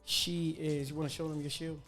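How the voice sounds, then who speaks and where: A young man from New York, a cook, chatting casually and animatedly with slang and quick asides. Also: on the high side, flat delivery, a bit bright. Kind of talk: casual chat.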